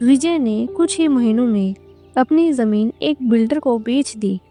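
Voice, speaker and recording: A woman's voice: on the high side, a little slow, mellow, faint music behind. A woman narrating a scripted cartoon story as a storyteller.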